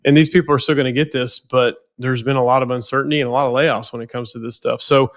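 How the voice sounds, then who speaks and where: A man's voice: medium pitch, fairly even intonation, quick pace. A financial planner with a head cold, sounding nasal, talking casually on a podcast and often repeating words as he goes.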